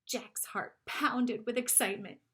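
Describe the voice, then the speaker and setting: A woman narrating a children's chapter book aloud in an expressive storytelling style. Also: on the high side, a little slow. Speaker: storybook reader.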